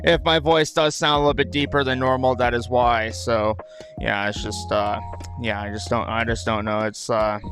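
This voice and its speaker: A man's voice: medium pitch, level tone, moderate pace. Rambling man doing gameplay commentary over music. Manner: rambling, repeats phrases. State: dry throat, just woke up. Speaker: gaming video host. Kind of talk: casual game commentary.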